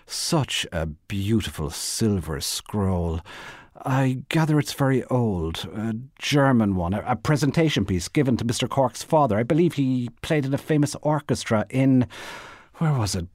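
A man voicing a polite, flustered young woman who chatters and tries to stay calm, in an audiobook story. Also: medium pitch, measured pace, no room sound, clean studio sound, pitched above the performer's own voice. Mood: polite, nervous chatter. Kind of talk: literary audiobook character voice.

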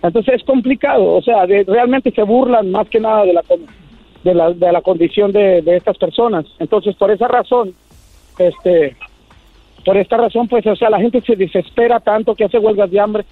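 A man's voice: high-pitched, moderate pace, dry recording. A middle-aged Mexican man from Michoacán, an activist guest giving impassioned commentary in an interview. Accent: Mexican Spanish. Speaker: migrant shelter founder and activist, interview guest. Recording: muffled tinny phone line, faint music.

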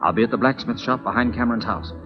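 An actor playing a cool, courtly military captain and secret agent in a 1940s radio western, formal and commanding in manner. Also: male voice, medium pitch, fast talker, over music, natural voice. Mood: urgent. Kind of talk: 1940s radio drama acting. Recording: muffled old 1940s radio broadcast.

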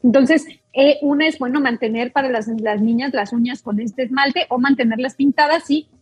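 A woman's voice: sits high, moderate pace, slightly rough, no echo. A woman doctor, explaining a topic patiently as a guest on a talk show. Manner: explains patiently, with many fillers and restarts.

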